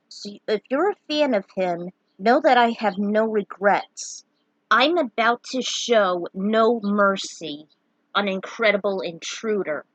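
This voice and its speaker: A woman's voice: mid-range voice, dry recording, poor audio. A woman introducing and narrating her own fan fiction in a theatrical, emphatic way. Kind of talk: dramatic recap and reading of own fan fiction.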